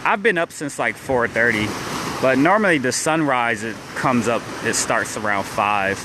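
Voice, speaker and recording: A man's voice: medium pitch, lively intonation, moderate pace, noisy background. A young man with a downstate Illinois accent, rambling casually with lots of fillers while streaming from a phone at a beach at dawn. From central Illinois. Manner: rambling, many restarts and "like" fillers. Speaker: vlogger. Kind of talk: casual livestream chat. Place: beach at dawn, outdoors by the waves. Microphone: phone held while walking. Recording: phone video with loud surf behind. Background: surf and waves.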